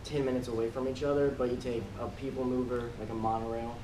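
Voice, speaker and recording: A man's voice: medium pitch, level tone, fast talker. Young man hosting in an echoey room. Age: 20s. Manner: informal, jokey. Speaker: convention panelist and host. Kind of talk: casual panel chat. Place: convention panel room. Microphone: distant from mouth. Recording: echoey, distant mic, room noise.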